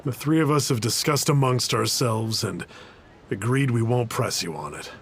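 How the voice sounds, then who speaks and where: An earnest childhood friend, voiced by a man, with a mid-pitched voice and measured, steady delivery. Faint ambient noise sits behind him. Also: natural voice. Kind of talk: video game voice acting.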